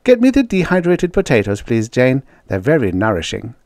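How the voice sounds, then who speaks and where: A man voicing a brisk, bossy, stubbornly practical woman settler in a story, coaxing and defiant by turns. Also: medium pitch, huge pitch swings, a little mellow, dry recording, clean studio sound, voice pushed up.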